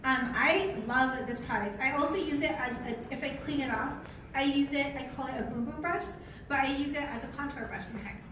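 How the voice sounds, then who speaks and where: High-voiced American woman presenting in echoey room. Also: a little fast. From lives in Utah, United States. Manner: informal, asks the audience questions. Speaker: product trainer presenting to an audience. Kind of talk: live product training presentation. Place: large room with an audience. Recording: echoey room, distant mic, noisy.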